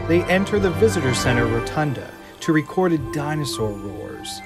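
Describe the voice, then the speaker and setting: A man narrating the stage directions of an audio drama in a steady, descriptive reading. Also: a little high, moderate pace, over loud music.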